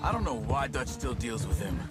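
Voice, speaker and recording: Man as sceptical outlaw, loud music behind. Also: medium pitch, very animated, brisk, lightly acted. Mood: sceptical, calm. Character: level-headed, sceptical male outlaw companion, adult. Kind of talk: video game cutscene acting.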